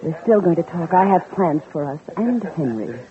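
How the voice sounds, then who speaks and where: An actress playing an insistent, scheming married socialite in a 1940s radio drama, on a muffled vintage recording. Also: female voice, fairly low pitch, big pitch swings, moderate pace, a crowd in the background. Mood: coaxing, insistent. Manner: coaxing with lovers, sharp and scolding with husband. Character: insistent, scheming married socialite pursuing her former lover.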